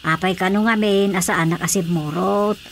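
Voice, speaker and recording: A woman playing a calm village mother in a Filipino radio drama, unhurried and conversational, with an Ilocano accent. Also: on the low side, a little hiss or hum, natural voice.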